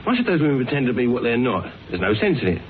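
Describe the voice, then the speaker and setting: An actor in a radio drama playing a flippant, slangy, sceptical sidekick to a detective. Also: male voice, mid-range voice, very animated, rapid-fire, close to an everyday voice. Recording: tinny band-limited radio recording with hiss.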